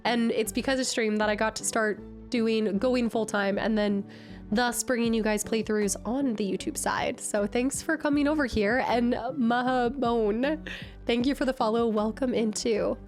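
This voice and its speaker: A woman streamer chatting casually with her viewers, her voice nasal and raspy from a cold, bubbly and excitable. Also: fairly high pitch, normal speed, faint music behind. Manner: bubbly, excitable, greets chat often. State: recovering from a cold. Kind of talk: casual live-stream chat.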